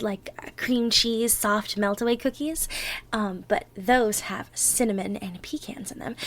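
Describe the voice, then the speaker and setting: Woman doing a bubbly bossy girlfriend for asmr roleplay, kinda high voice, chatty, thinks out loud, affectionate. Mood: chatty, proud. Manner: chatty, playful, gently bossy, thinking aloud. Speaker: roleplay audio performer. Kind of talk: girlfriend ASMR roleplay, one-sided conversational acting.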